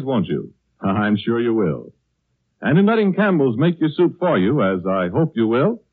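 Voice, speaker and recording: Male announcer, fairly high voice, genial polished sales delivery, tinny vintage radio sound. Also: breathy edge. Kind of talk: 1930s radio announcing and sponsor pitch.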